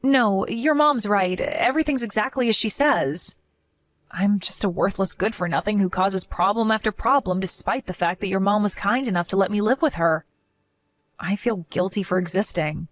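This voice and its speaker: A woman's voice: medium pitch, moderate pace, no echo, lightly acted. A woman voicing a timid young daughter-in-law who speaks in a meek, apologetic way. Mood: despairing.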